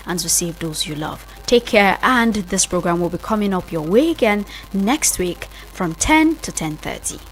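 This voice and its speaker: A woman's voice: mid-range voice, poor audio. Nigerian woman hosting a radio show. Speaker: radio host. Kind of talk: live radio interview and sign-off.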